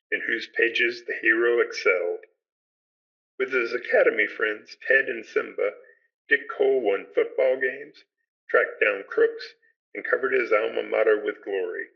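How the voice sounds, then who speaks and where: A man hosts with scripted narration. His voice is gravelly and raspy at an ordinary pitch. The recording is a little dull. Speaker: podcast host and narrator. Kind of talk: scripted narration, read aloud.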